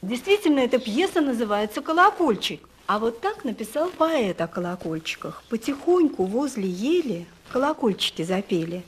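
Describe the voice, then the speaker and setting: A woman's voice: fairly high pitch, big pitch swings, normal speed, hardly any pauses, a little hiss or hum. A woman leading a music lesson for young children, asking them questions and reciting verse expressively.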